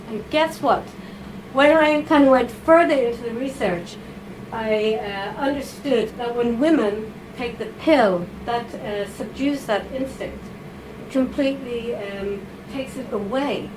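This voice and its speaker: A woman giving a chatty, informal talk to a live audience, full of asides, fillers and quick "okay?" checks. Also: on the high side. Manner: chatty, animated, with frequent fillers and tag questions. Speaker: writer giving a reading. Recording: audible background noise.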